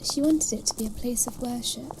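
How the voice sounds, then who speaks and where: A woman playing a gentle, reverent, fearful cat nun in an audio drama, with a breathy, hushed, earnest delivery. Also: fairly high pitch, brisk, background noise, close to an everyday voice. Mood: solemn, fearful. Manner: earnest, solemn.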